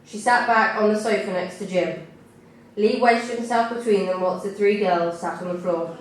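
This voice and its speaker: A woman reading her own writing at a live literary event, with a measured, deliberate delivery. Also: medium pitch. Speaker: compere and writer reading own work. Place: indoor live event venue. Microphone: distant camera recording. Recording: echoey room recorded from far off, faint noise.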